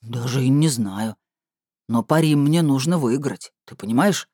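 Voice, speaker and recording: Woman putting on a very deep, grumbling male bass. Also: measured pace, warm tone, breathy edge, no room sound, clean studio sound, exaggerated voice. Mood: reluctant. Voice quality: very low, rumbling bass. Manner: grumbling, brooding. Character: mighty, grumbling, irascible male being with thunderous bass.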